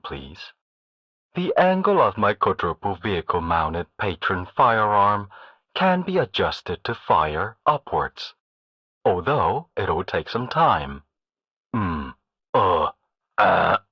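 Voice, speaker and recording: Slow, formal, husky gentleman. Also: sits low, big pitch swings, no echo, highs cut off, full character voice. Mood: courteous. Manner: formal, deliberate. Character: polite, methodical gunner presenting fireworks with formal care. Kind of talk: scripted game character greeting.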